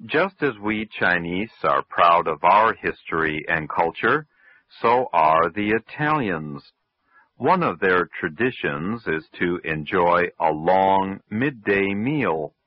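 A man reads an English lesson in a very low, unhurried voice, enunciating every phrase for learners. The sound is dry and close, with the muffled, lo-fi quality of an old radio broadcast. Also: no room sound. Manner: slow, careful, clearly enunciated. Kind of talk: scripted textbook reading.

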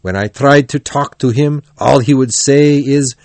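Guy doing a sensible male badger from a kids story, earnest warning tone, medium pitch, very dry sound. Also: a little slow, darkish tone, dry recording, lightly acted. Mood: concerned, cautioning. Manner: earnest, matter-of-fact. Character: sensible, cautioning male badger, a worried friend. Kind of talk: animal storybook character voice.

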